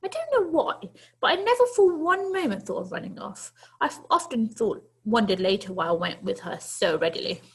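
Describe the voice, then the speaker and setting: A woman's voice: a little high, sing-song, moderate pace, breathy edge. A woman teacher reading a children's story aloud to pupils, expressively and with dramatic, emphatic phrasing.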